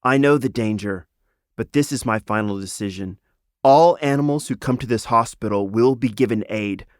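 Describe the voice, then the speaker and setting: A man's voice: mid-range voice, normal speed, no echo, clean studio sound, close to an everyday voice. A man voicing a decisive, compassionate female animal healer who gives orders in a children's fantasy story. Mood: firm, grave.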